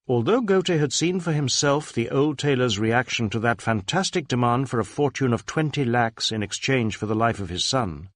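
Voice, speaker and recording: Expressive male storyteller, very dry sound. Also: medium pitch, dry recording. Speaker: audiobook narrator. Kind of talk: scripted narration of a detective novel.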